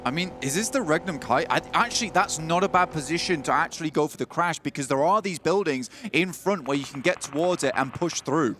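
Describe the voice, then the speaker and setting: A man doing color commentary on a live esports match, relaxed and self-deprecating, mixing analysis with jokes. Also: a little high, fairly quick, hardly any pauses, faint music behind. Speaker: esports co-caster.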